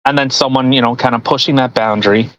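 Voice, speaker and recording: A man's voice: mid-range voice, steady tone, quick pace, fairly bright tone, dull audio. A man hosting a podcast in casual, mocking commentary with crude joking. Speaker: podcast host.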